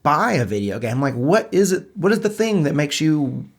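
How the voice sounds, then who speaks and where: A man's voice: on the high side, normal speed. Stuffy-nosed guy co-hosting a game podcast, chill and chatty. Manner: relaxed, chatty, self-deprecating. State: stuffed up from allergies. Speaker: podcast co-host. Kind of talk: casual gaming podcast chat.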